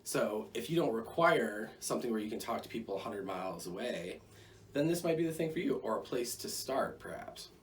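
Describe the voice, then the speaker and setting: A man's voice: lowish voice, expressive, a little fast. An American man giving a rambling, conversational product review with jokey asides. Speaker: gear reviewer. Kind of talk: casual product review to camera. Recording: distant mic, faint background noise.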